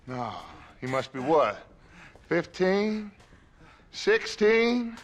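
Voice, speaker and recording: An actor playing a mocking, menacing outlaw gang leader in a video game, with theatrical, sardonic delivery. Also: male voice, high voice, sing-song, drawn-out words, exaggerated voice. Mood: mocking, resigned. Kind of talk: video game cutscene acting.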